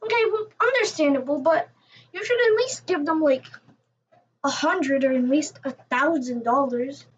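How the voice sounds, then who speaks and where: A woman giving casual reaction commentary, haltingly thinking aloud as she goes. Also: high voice, muffled recording. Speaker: commentator reacting to a story video.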